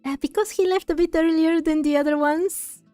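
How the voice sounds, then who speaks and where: A woman with a high-pitched voice comments casually on a game she is playing. She thinks aloud and questions what she sees. The sound is dry, with hardly any room in it. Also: fairly even intonation, moderate pace, warm tone, no echo, faint music behind. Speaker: game streamer.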